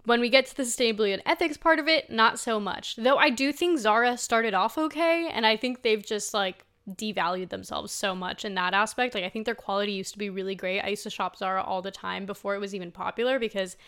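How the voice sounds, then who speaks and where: A woman's voice: medium pitch, quick pace, hardly any pauses, a bit bright, smooth. A woman in her twenties from Spain giving casual, conversational commentary as a host, chatty and informal, leaning on key words for emphasis. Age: 20s. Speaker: host, sustainable fashion commentator.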